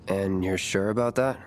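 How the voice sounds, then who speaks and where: An actor playing an aloof, guarded young mercenary in a video game, speaking in a terse, cool deadpan. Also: male voice, sits low. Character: aloof, guarded young male mercenary, curt and money-minded. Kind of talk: video game cutscene acting. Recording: music under the voice.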